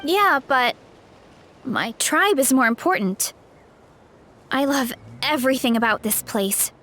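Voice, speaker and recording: A woman's voice: high-pitched, expressive, unhurried, a bit bright, full character voice. A woman voicing a cheerful, easygoing young tribeswoman in a video game, laughing easily as she talks. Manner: upbeat, breezy, laughs easily. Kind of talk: game voice acting.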